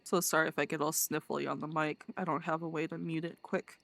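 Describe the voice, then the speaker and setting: Streamer, nasal, stuffy cold, gravelly, monotone, short replies. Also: medium pitch, brisk. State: congested from a cold. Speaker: roleplay streamer. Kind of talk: casual stream chat and in-character roleplay.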